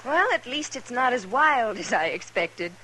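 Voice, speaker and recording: An actress playing a polite, earnest young American newcomer to a frontier town in an old radio western. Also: female voice, on the high side, sing-song, unhurried, pushed and bright, exaggerated voice, poor audio. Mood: wistful, polite. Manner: proper, demure. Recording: hissy old-time radio recording.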